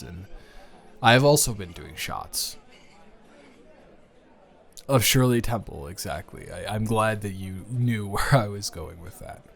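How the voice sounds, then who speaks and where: A man's voice: fairly low pitch, fairly bright tone. A man playing a smitten, awkwardly playful friend with benefits in an improvised romantic audio roleplay, speaking hesitantly and teasingly.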